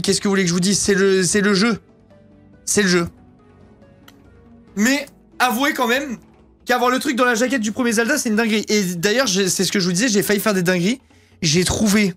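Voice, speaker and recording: A man's voice: high-pitched, fairly bright tone. A man in his thirties chatting casually as a streamer, rambling with plenty of fillers and crude slang. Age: 30s. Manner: rambling and slangy, swears freely, many fillers. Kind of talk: casual chat showing off purchases.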